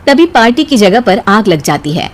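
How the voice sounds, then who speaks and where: A woman narrating a cartoon moral story in a lively storytelling manner. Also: medium pitch, normal speed, noisy background.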